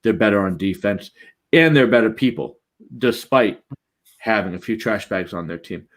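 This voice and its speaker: A man's voice: lowish voice, moderate pace. A man with a Boston accent, joking and trash-talking while co-hosting a casual sports chat. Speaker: podcast co-host.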